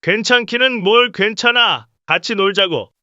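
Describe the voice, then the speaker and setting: A narrator voicing a menacing male gangster in a rough, booming voice, mocking and pushy, in a dramatized story. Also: normal speed, bright, forceful tone, dry recording, highs cut off, natural voice. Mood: leering, pressuring. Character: menacing loud male gangster, leering and bullying.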